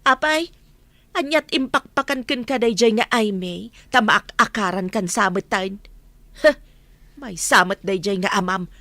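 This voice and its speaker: A woman's voice: medium pitch, a little slow, pushed and bright, dry recording. An actress playing an irritable, scornful middle-aged mother in a radio drama. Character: scornful, irritable mother who disdains churchgoing. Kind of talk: acted radio-drama dialogue.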